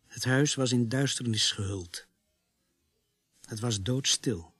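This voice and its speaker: A man playing a sombre police sergeant who narrates a radio play in a grave, deliberate, husky voice. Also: mid-range voice, no room sound. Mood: grave, haunted. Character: sombre male police sergeant recounting a grisly night.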